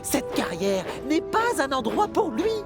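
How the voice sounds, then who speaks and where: A woman's voice: sits high, big pitch swings, a little slow, full character voice. A woman voicing a firm, practical quarry machine in a children's cartoon, with an insistent manner. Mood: firm, scolding. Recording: loud music over the voice.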